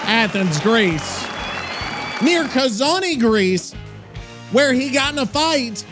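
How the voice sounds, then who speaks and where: High-pitched, sweary male podcast host. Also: sing-song, slow pace, music in the background, a crowd in the background. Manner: sweary, incredulous. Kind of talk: casual comedic banter.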